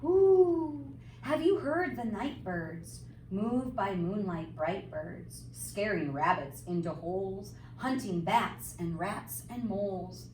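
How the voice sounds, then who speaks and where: A woman reading a picture book aloud to young children like a teacher, with expressive, sing-song, emphatic phrasing and simple explanatory asides. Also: medium pitch, slow, hardly any pauses. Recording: roomy, distant mic, faint background noise.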